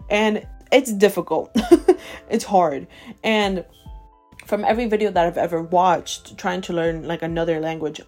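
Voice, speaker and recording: A woman in her twenties, from Ecuador with Spanish as her first language, hosts her own podcast and talks in a fired-up, emphatic rant. Her voice sits a little low for a woman. Faint chill music plays quietly behind her. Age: 20s. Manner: emphatic and animated, keeps asking "okay?". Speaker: podcast host. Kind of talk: casual ranting podcast. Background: faint chill background music.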